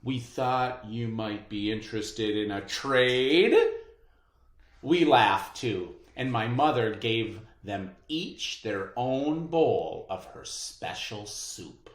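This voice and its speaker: The voice is mid-pitched and very animated, belonging to a teacher reading aloud to children. The delivery is unhurried and expressive, and it rings in an echoey room. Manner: expressive, dramatized delivery of story dialogue. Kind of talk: read-aloud storytelling and class instruction.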